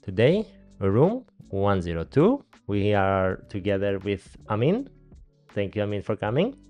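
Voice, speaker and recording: A man in his thirties with a Greek accent hosts a relaxed podcast chat in a small studio, talking into a boom mic. His voice sits lowish and comes across smooth and resonant. He is chatty and laughs easily, with faint music underneath. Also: measured pace. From Greece. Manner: chatty, laughs often, non-native grammar. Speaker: podcast host. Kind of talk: casual interview chat. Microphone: studio mic on boom arm, headphones.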